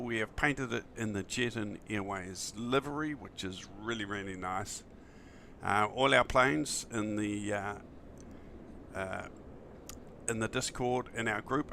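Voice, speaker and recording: Croaky New man streaming casually. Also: on the low side, sharp tone, slight background noise. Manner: friendly, enthusiastic, rambling. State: early-morning croaky voice, still waking up. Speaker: flight simulator streamer. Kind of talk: casual livestream chat.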